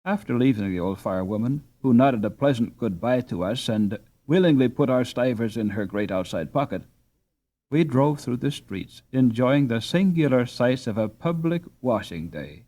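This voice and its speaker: A man reading a storybook aloud as an audiobook narrator, with an expressive storytelling delivery. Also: medium pitch, moderate pace.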